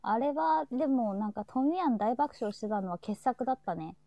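Female talk host, higher register, gentle dark tone, agreeable conversational delivery. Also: lively intonation, fairly quick, gravelly, no room sound. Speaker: host of a live audio talk show. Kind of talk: casual chat.

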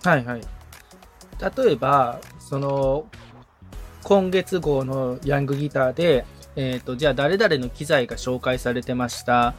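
A man in a casual consultation, asking tentative questions as a beginner and often chiming in with quick acknowledgements. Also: medium pitch, soft background music.

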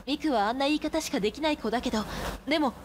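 Woman as a high-voiced, composed schoolgirl. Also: noisy background, close to an everyday voice. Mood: firm, encouraging. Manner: measured, earnest, feminine phrasing. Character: composed, apologetic but firm high school girl friend. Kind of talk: radio drama acting.

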